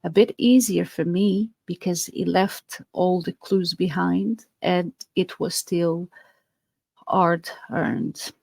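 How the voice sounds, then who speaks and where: Slow, gravelly Portuguese-accented woman. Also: on the low side, fairly even intonation, a little mellow, no echo. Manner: earnest, explanatory, with fillers and restarts. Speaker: podcast host. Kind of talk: podcast monologue.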